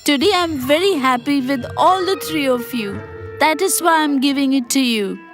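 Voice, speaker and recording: A woman as a high-voiced, commanding cartoon mother-in-law. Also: normal speed. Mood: bossy, approving. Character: commanding mother-in-law testing her daughters-in-law. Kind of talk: dubbed moral-story cartoon dialogue. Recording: music behind the voice.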